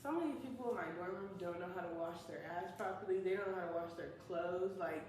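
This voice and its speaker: A woman's voice: lowish voice, quick pace. A woman in her early twenties, co-hosting a podcast in casual chat, laughing and telling stories. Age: 20s. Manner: laughing, animated storytelling. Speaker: podcast co-host. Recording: echoey room, mic at a distance, faint noise.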